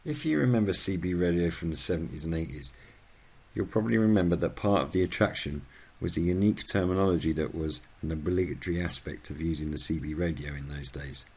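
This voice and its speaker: A middle-aged British man with a husky voice, reading scripted narration as the host of a video channel. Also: low-pitched, quick pace, darkish tone. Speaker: YouTube host.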